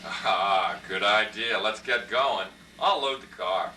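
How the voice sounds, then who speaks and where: A man's voice: fairly low pitch, takes it slowly, pushed and bright, full character voice. A man voicing an easygoing, slightly weary family dad in an amateur puppet show. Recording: echoey, noisy room from far off.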